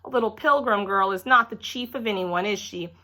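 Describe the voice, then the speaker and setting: Woman as a bright-voiced, smug, bossy schoolgirl. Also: medium pitch, lightly acted. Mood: smug, taunting. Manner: taunting, show-off, drawn-out emphasis.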